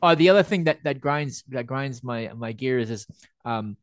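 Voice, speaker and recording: Give me a man ranting on a podcast, mid pitch, dry close sound. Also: lively intonation, normal speed, dry recording. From Montreal, Canada. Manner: ranting, laughing at his own points. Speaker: podcast co-host. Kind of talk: casual chat.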